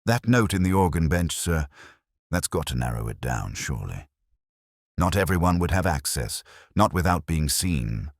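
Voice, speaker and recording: A man plays an eager police sergeant in a mystery story. He talks plainly and earnestly in a very deep, husky voice, recorded dry and close. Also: big pitch swings, normal speed, no room sound, exaggerated voice. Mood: puzzled, eager.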